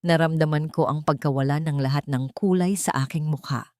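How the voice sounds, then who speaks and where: A woman narrating a scripted first-person drama in a measured, theatrical way. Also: sits low, steady tone, a little mellow, no room sound.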